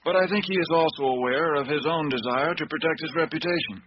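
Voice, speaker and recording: An actor playing a composed, analytical investigator in a radio mystery, speaking formally and deliberately on an old cassette recording. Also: male voice, on the high side, natural voice. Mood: calm, assured. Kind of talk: radio drama acting. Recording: dull, noisy old cassette tape.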